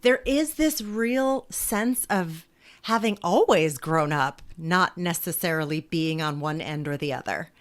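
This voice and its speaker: A woman in her forties, an author talking as a guest in a thoughtful, measured way and laughing easily. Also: lowish voice, expressive. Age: 40s. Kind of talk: reflective interview conversation.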